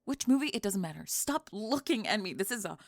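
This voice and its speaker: A young woman playing a sharp-tongued, defensive goth college student who is secretly smitten, in an ASMR roleplay, speaking in halting, clipped, emphatic phrases. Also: medium pitch, lively intonation, gravelly, no room sound, studio quality. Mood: defensive, flustered. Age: young adult. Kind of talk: ASMR roleplay monologue, intimate audio drama.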